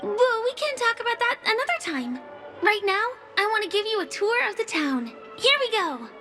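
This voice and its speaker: Sweet young game heroine, very high pitch, bright tone, gentle and friendly. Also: female voice, sing-song, normal speed, faint music behind, exaggerated voice. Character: sweet, friendly young woman performer and guide. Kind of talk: scripted video game dialogue.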